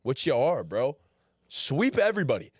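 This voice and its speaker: A young man with a mid-pitched voice chats loosely on a sports podcast. He leans on slang and keeps calling people "bro". The recording is dry and close. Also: very animated, normal speed, breathy edge, no room sound. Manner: slangy, says "bro" a lot. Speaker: podcast co-host. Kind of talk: casual sports chat.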